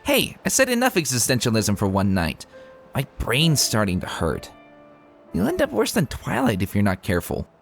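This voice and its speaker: A man's voice: medium pitch, huge pitch swings, normal speed, creaky. A man voicing a brash, playful young female pegasus pony with a breezy, cheeky manner in a fan fiction reading. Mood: mock annoyed. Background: music.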